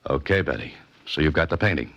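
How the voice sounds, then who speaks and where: A man's voice: rumbling low, expressive, fast, a bit bright, heavily acted. An actor playing a tough, wry amateur sleuth in a 1940s radio mystery, clipped and sardonic, narrating his case. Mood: stern, sardonic. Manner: clipped, sardonic, hard-boiled. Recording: old radio recording with music.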